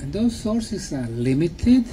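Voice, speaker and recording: A man, a native Bosnian speaker, giving a talk to a group in short, simple phrases with pauses for an interpreter. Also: high-pitched, sing-song, dark tone, slight background noise. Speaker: speaker, tour guide.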